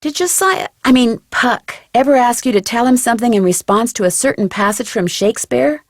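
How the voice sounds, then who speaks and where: A woman's voice: a little high, fairly quick, dry recording. Polite young girl detective, close and dry. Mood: probing. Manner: polite, probing, tactful. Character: polite, inquisitive young female amateur detective. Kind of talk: video game voice acting.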